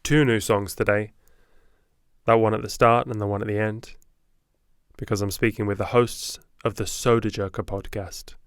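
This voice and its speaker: A man's voice: fairly low pitch, steady tone, normal speed, smooth, no room sound, clean studio sound. A man hosting an interview podcast, introducing his guests and asking friendly questions. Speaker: podcast host.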